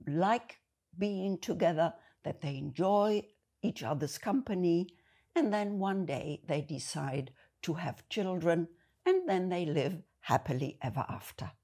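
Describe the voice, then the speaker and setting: Slow, low-voiced German-accented woman. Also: mellow. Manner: slow, deliberate, explanatory. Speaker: speaker. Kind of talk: measured spoken commentary.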